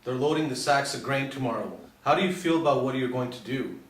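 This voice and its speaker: A man's voice: medium pitch, brisk, natural voice. Echoey, cool, sly undercover actor as a male pilot. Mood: conspiratorial. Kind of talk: screenplay table read. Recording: echoey room, distant mic, faint noise.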